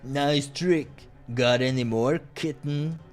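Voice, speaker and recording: A man voicing a boisterous, combative male warrior from a visual novel, mocking his opponent with loud taunts. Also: medium pitch, takes it slowly, faint music behind, close to an everyday voice. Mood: taunting.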